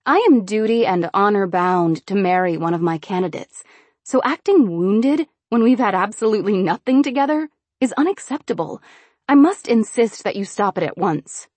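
A woman's voice: mid-range voice, expressive, moderate pace, darkish tone, no echo, natural voice. A woman reading an audiobook voices a proud young queen who speaks firmly and formally. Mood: indignant. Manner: firm, formal, indignant. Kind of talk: audiobook character voice.

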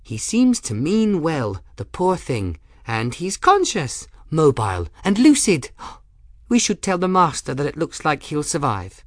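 A narrator voicing an odd, fey, flustered young apprentice girl in an audiobook, breathy and rambling to herself in the third person. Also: mid-range voice, wildly swooping pitch, a little slow, no room sound, studio quality, voice pushed up, exaggerated voice. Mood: musing. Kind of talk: audiobook character dialogue.

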